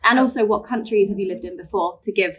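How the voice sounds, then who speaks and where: A woman's voice: medium pitch, fast talker, breathy edge. British woman moderating a panel. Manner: friendly, encouraging, asks follow-up questions. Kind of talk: hosting a panel discussion.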